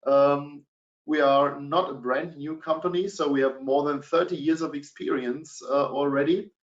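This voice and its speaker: A middle-aged man with a German accent, presenting a business webinar and pausing often on filler sounds. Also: on the high side, fairly even intonation. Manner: hesitant, many fillers. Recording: dull, roomy webinar audio.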